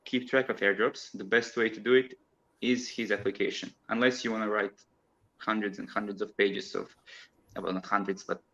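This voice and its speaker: A man from Croatia chats as a guest over a video call, often laughing as he explains. His voice sits fairly low. The call audio is dull and lo-fi. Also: little pitch movement, moderate pace, vocal fry, fairly bright tone. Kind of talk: casual online chat.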